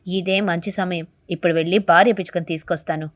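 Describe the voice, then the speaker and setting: A woman's voice: on the low side, fairly even intonation, quick pace, lightly acted. Woman voicing a sly, scheming cartoon parrot. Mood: plotting. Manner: sly, wheedling, plotting. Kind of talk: animated bird fable dialogue.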